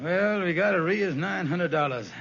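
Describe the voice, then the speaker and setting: A man's voice: sits high, normal speed, loud music behind the voice, lightly acted. A man playing a sly, hard-up schemer in an old radio comedy, with a hesitant, stammering, folksy delivery. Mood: scheming, worried. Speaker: sitcom actor. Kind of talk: 1940s-50s radio comedy acting. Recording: muffled, noisy old radio recording.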